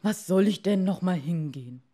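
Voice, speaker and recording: A woman's voice: lowish voice, animated, normal speed, warm tone. A woman voicing a simple, mild fisherman husband, plain and hesitant, in a fairy-tale reading. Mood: mild reluctance.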